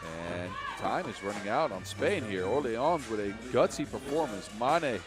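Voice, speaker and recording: A man with a Dutch accent giving fast live basketball play-by-play, his energy rising with each play. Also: medium pitch, a crowd in the background. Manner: energetic, rising with the action, self-mocking asides. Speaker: play-by-play commentator. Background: music.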